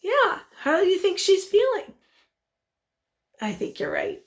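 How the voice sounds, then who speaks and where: A woman teacher reading a picture book aloud to young children, expressively, pausing to put questions to them. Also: very high voice, huge pitch swings, a little hiss or hum.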